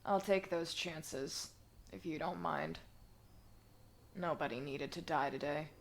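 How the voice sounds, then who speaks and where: A woman voicing a stern, weary villain's henchwoman in an animation fandub, speaking in a quiet, grave, measured way. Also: low-pitched, vocal fry, heavily acted. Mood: grave, calm. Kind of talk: dramatic animation fandub acting.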